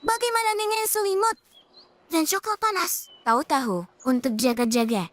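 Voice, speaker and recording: A woman's voice: very high voice, moderate pace, a bit bright, no room sound. A woman voicing a fussy, over-careful young creature in a dubbed children's cartoon, talking in a worried, insistent way.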